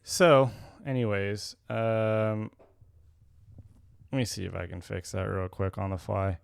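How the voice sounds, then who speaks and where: A man's voice: on the low side, steady tone, slow, gravelly, clear, resonant tone, dry recording. A young adult man co-hosting a casual podcast, rambling and correcting himself as he talks. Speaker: podcast co-host.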